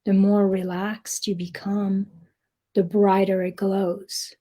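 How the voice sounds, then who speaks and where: A woman guiding a meditation in a soft, breathy, gentle voice, speaking deliberately with long pauses. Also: mid-range voice, flat delivery. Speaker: meditation guide. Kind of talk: guided meditation, slow soothing narration.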